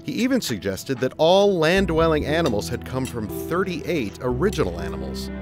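A man's voice: fairly high pitch, moderate pace, music in the background. A middle-aged man acting an upbeat father who earnestly explains things in a family audio drama. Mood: earnest, explanatory. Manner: explanatory, lively.

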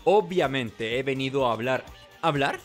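A man's voice: mid-range voice, lively intonation, normal speed, over music, close to an everyday voice. A man voicing an arrogant, contemptuous young man from a video game in a haughty, commanding way. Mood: haughty, irritated.

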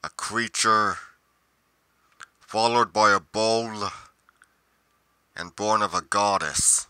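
A man playing a brooding, solitary monster investigator in a roleplay monologue, musing deliberately with pauses mid-sentence. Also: lowish voice, fairly even intonation, sharp tone, clean tone, no room sound. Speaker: roleplay performer.

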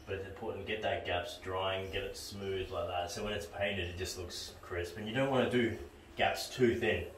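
Low-voiced man in an echoey room. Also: steady tone, normal speed, a bit bright, slight background noise. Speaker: tradesman presenting a renovation video. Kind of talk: casual how-to commentary while working. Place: small tiled bathroom under renovation, indoors. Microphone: camera a few steps away. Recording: roomy echo, distant camera mic, music.

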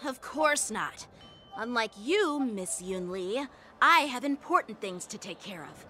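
A woman's voice: a little high, lively intonation, unhurried, sharp tone. A woman voicing an earnest, hot-tempered young male knight lieutenant in a game, with background music under the lines. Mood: haughty. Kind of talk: game voice acting.

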